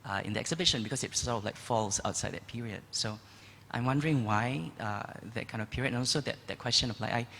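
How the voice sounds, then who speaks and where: Low gravelly male questioner, halting speech, in an echoing hall with faint hum. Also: bright, forceful tone. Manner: halting, searching for words. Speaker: audience member asking a question. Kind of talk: question from the floor. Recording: long hall reverb with faint background noise.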